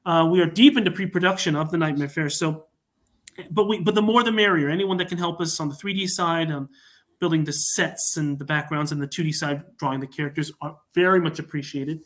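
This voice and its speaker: A man talking informally as a podcast guest on a video call, in a halting, hesitant, wordy way. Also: fairly high pitch, fairly bright tone. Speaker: podcast guest, fan production creator. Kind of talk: informal project talk.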